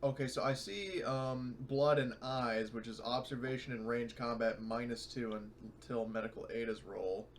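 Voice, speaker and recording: A man's voice: medium pitch, moderate pace, hardly any pauses, slight background noise. A man chatting casually as a player in a tabletop roleplaying game, hesitantly working through rules aloud.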